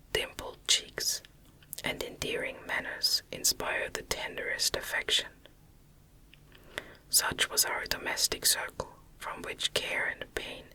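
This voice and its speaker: A woman whispering a classic Gothic novel as an ASMR audiobook, hushed and measured, close to the microphone. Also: rough recording. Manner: hushed, measured, unvoiced delivery. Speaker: audiobook reader.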